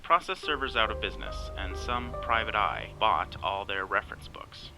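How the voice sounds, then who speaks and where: An actor playing a sardonic, bossy best friend in a naturalistic audio drama, wry and exasperated. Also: male voice, lowish voice, animated, moderate pace, over music, low-quality recording. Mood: sardonic, teasing. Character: sardonic, bossy male best friend named Larry, cellphone company worker.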